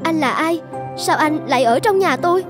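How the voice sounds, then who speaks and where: A woman playing a gentle young bride in a fairy-tale cartoon. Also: sits high, animated, normal speed. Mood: surprised. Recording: music behind the voice.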